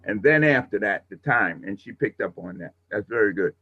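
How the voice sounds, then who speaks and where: A man's voice: lowish voice, lively intonation, moderate pace, bright, forceful tone, husky. A man coaching a radio class informally, explaining and correcting himself as he goes, heard through dull remote audio.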